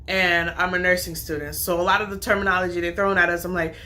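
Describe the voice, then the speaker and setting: Woman vlogger, storytime narration, low pitch, crisp forward tone, chatty and full of reported talk. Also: level tone, fast, bright, forceful tone. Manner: chatty, retells talks with "I'm like" quotes. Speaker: vlogger and nursing student. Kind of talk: storytime retelling.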